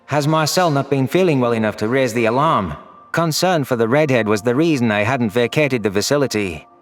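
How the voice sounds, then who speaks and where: A man narrates a science fiction audio story in a scripted first-person style. His voice sits at an ordinary middle pitch, with faint music underneath. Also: expressive, brisk. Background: faint background music.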